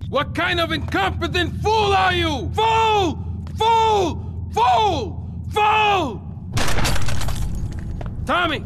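A middle-aged drug lord from a crime game, very high in pitch and wildly swinging. He rants slowly and theatrically, short-tempered and paranoid, over faint noise. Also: expressive, full character voice. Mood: furious. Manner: explosive, theatrical ranting. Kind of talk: video game cutscene acting.

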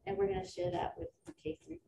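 Teacher, female, lively pitch, distant roomy recording. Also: fast. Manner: earnest, thankful, lively. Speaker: teacher presenting. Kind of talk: presentation to a school board. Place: school meeting room. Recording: roomy, distant mic, clear background noise.

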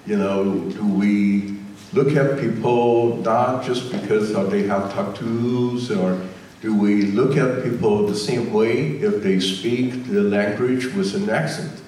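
An older man with a Taiwanese Mandarin accent speaks on a panel. His voice sits fairly low and is heard through a handheld mic from far across a big, echoey hall, with faint noise behind it. He talks haltingly, stopping and restarting often. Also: steady tone, dark tone. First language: Mandarin Chinese. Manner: halting, many fillers and restarts. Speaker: panelist, state legislator. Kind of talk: panel remarks. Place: large community center hall, indoors. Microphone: handheld mic, filmed from a far camera.

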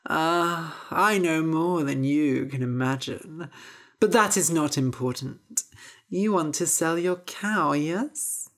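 A woman voicing a strange, sly old female witch who speaks slowly and coaxingly, drawing out her words. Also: low voice.

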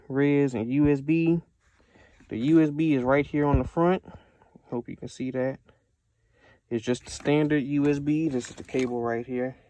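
A man's voice: mid-range voice, warm tone, a little hiss or hum. An American man giving a casual, unscripted product review in a conversational way, repeating himself for emphasis.

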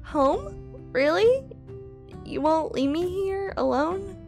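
A woman voicing a gentle, lonely young dragon who speaks in an earnest, trusting way. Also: very high voice, big pitch swings, slow, soft background music, pitched above the performer's own voice, full character voice. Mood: hopeful.

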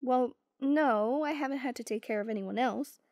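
Female actor for affectionate, sarcastic young girlfriend in ASMR roleplay; lightly high pitch, playful teasing, dry close recording. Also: moderate pace, darkish tone, no echo. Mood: playful, caring.

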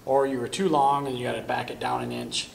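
Gravelly-voiced man demoing welding gear. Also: mid-range voice, very animated, a little fast, slight background noise. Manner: casual, talks while working with his hands. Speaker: welding equipment demonstrator. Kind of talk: hands-on product demonstration. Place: welding shop corner with curtain backdrop.

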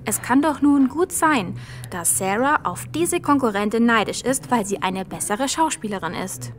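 Woman as sensible, fairly high-voiced girl. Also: expressive, moderate pace, a little hiss or hum, close to an everyday voice. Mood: thoughtful. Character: sensible, thoughtful young girl. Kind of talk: children's audio drama acting.

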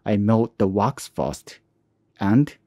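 A man with a lowish, mellow voice talks through a hand-tool woodworking project as a calm tutorial voice-over. His phrasing is plain and slightly non-native, and the sound is dry and close, with no room around it. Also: slow, dry recording. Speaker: woodworking channel host.

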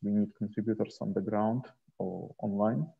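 A man presenting slides informally on a video call, speaking in a hesitant, halting way into a headset. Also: lowish voice, steady tone, gravelly, breathy edge. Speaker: host and presenter of an online community onboarding session. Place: small indoor room, video call. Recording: dull, muffled headset call audio.

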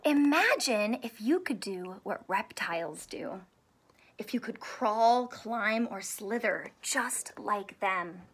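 A woman in her thirties, a primary school teacher reading aloud to young children in an expressive, carefully enunciated way and asking them questions. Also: mid-range voice, slow, slightly rough. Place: small home room with sofa and soft toys.

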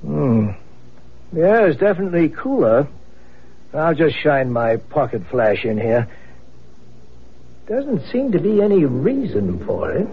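A man playing a courteous, formal psychic investigator with a raspy voice, in vintage radio drama. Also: fairly high pitch, very animated, heavily acted. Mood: intent. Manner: formal, polite, deliberate. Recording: muffled lo-fi old radio broadcast.

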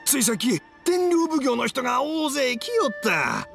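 An excitable middle-aged father from an anime game, swinging widely in pitch with a Kansai accent. He sounds easily flustered, and music plays clearly behind him. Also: moderate pace, heavily acted. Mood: agitated.

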